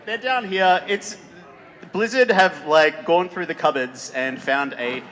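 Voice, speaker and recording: A man co-hosting a live convention panel, rambling casually with many pauses. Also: a little high, fairly bright tone, poor audio. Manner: rambling, lots of filler "uh"s. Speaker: streamer and panel co-host. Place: convention stage before a crowd.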